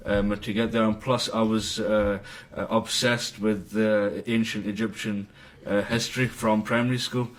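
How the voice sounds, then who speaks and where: A man's voice: fairly low pitch, level tone. Hesitant male imam, distant mic. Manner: hesitant, halting, many fillers. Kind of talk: podcast interview guest.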